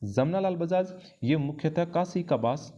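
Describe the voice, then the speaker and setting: A man's voice: on the high side, animated, measured pace, mellow. A man teaching an exam-prep lesson, explaining and repeating key phrases for emphasis, recorded on a dull lo-fi microphone. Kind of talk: history lesson going through quiz questions.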